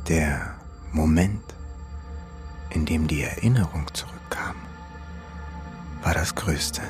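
Super deep slow mysterious voice, grave, chanting poetic memories, radio play. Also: music in the background. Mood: solemn, entranced. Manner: grave, measured, incantatory. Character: solemn, mysterious deep voice reciting dreamlike memories. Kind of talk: poetic radio drama narration.

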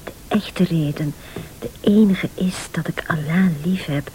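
An actress playing a devoted, defiant wife in a radio play, earnest and emotional, often sinking to a breathy near-whisper. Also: female voice, lowish voice, measured pace, a little mellow, slight background noise, lightly acted, low-quality recording. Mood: torn, pleading. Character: devoted, defiant wealthy wife caught in a plot.

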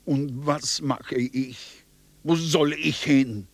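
A man's voice: fairly high pitch, fairly even intonation, drawn-out words, dry recording, exaggerated voice. An actor playing an anxious, reluctant male servant in a German radio drama, wary and stubborn, in short terse sentences. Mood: anxious, resisting.

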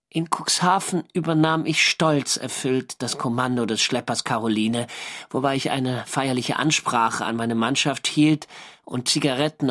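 A weary navy boat commander reading out his war diary, mid-pitched, dry and literary, radio play. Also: fairly bright tone. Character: German navy minesweeper skipper narrating his wartime diary, weary. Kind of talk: scripted diary narration in a radio drama.

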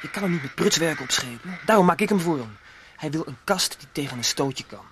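A man's voice: a little high, animated, a little fast, noisy background, lightly acted. An actor playing an evasive young village man in a radio play, hesitant and defensive as he stalls. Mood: stubborn.

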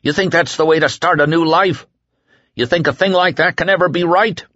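A man voicing a terrified but defiant burly male cook who shouts and pleads with a crowd. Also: a little high, moderate pace, no room sound, lo-fi sound, pitched above the performer's own voice, lightly acted. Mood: pleading.

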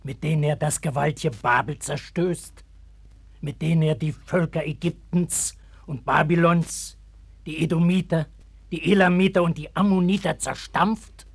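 A man playing a stern, compassionate prophet in a radio play, speaking in a solemn, measured, declamatory way. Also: a little high, steady tone, gravelly, husky. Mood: fervent, prophetic. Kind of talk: German radio play acting. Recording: early 1960s vinyl radio-play record.

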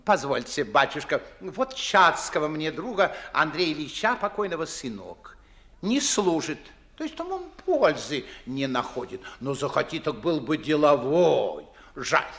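A pompous, talkative gentleman of old Moscow in a classic stage comedy. His high voice swoops theatrically and fussily, with a gravelly creak, and he lingers slowly over his verse. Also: big pitch swings, full character voice. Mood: reproachful, fussy. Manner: theatrical, declamatory, fussy, swooping emphasis. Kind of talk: 1950s Russian classical stage comedy acting.

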